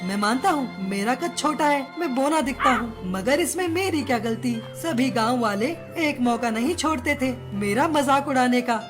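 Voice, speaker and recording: A small male cartoon crow, acted by a woman, speaks in a high voice. He is a chatty, theatrical joker with a wounded, vengeful streak, and light music plays underneath. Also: lively intonation, moderate pace, few pauses. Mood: hurt, pleading. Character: short joke-telling crow, male, hurt and vengeful. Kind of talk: Hindi cartoon story dialogue.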